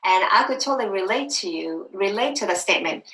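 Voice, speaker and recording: A woman from Japan, hosting an interview and speaking candidly with halting phrasing. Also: mid-range voice, expressive, fairly bright tone. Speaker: summit host. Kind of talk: interview conversation. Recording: echoey room.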